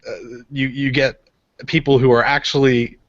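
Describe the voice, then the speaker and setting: A man's voice: mid-range voice, level tone, normal speed, a bit bright, dry recording. A man hosting a live radio show in a casual style over lo-fi online audio. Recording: dull, dry lo-fi online audio.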